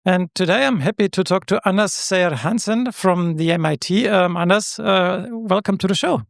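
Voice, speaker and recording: A male podcast host speaks in a high, gravelly voice and puts his questions in a measured way, with a few fillers. The recording is dry and close, with almost no room echo. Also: soft background music. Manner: measured, uses fillers when asking questions. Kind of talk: scripted intro then interview questions.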